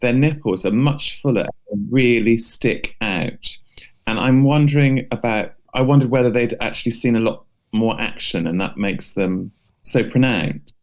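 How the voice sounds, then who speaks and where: A man's voice: medium pitch, moderate pace. A man co-hosting a podcast in casual conversation, with an inquisitive way of talking. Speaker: podcast co-host. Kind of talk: casual chat. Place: remote podcast recording.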